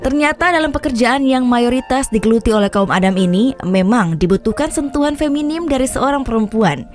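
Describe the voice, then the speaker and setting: A woman with a slightly high voice narrates a news feature in a scripted style. She, and music plays clearly behind her. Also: lively intonation, a little fast. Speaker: reporter and narrator. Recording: voice-over with clear background music.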